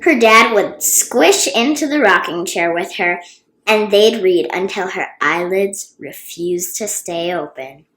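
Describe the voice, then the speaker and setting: A woman reading a children's storybook aloud expressively, with dramatic, drawn-out emphasis on key words. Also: medium pitch, expressive, fairly bright tone. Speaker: children's book reader and channel host. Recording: roomy, echoey sound.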